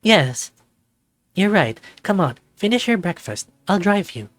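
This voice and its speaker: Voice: mid-range voice, sing-song, a little slow, no room sound, voice pushed up. A performer, a man, voicing an anxious, doting mother in a furry visual novel, fussing and motherly. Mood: gently firm. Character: anxious, doting furry mother fretting over her son. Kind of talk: visual novel character reading.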